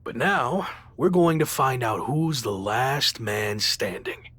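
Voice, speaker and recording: Curt, stubborn rookie wrestler. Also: male voice, mid-range voice, expressive, slow pace, a bit bright, slightly rough, dry recording, heavily acted. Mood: guarded, firm. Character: proud, stubborn young rookie wrestling champion, a loner. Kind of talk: video game cutscene acting.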